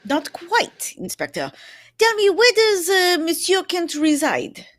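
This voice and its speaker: A woman's voice: extremely high pitch, wildly swooping pitch, slow, voice pushed up, exaggerated voice. A woman playing a dapper, self-assured male detective in a put-on French accent, with formal, courtly phrasing, in a radio drama reading. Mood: inquisitive. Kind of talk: live old-time radio drama reading.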